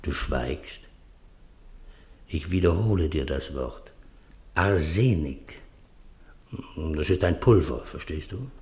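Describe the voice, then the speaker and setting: An actor playing a gruff, crafty man who talks down to a child in a deliberate, domineering way, in a German radio play. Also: a bass voice, expressive, darkish tone, exaggerated voice. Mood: coaxing, insistent.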